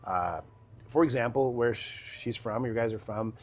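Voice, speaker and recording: A middle-aged man, a city council member, answering interview questions in a measured, explanatory way with pauses. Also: medium pitch.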